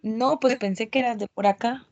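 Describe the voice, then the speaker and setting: A woman's voice: a little high, steady tone, moderate pace, a little mellow, lo-fi sound. A woman, a student on a video call who searches for English words and asks how to say them. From Cauca, Colombia.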